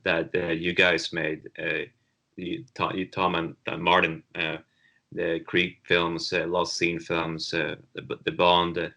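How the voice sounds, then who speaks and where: A man's voice: deep voice, gravelly, pushed and bright. A young man chatting earnestly on a video call, hesitant with many fillers. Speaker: fan film creator. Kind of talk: casual chat on a video call.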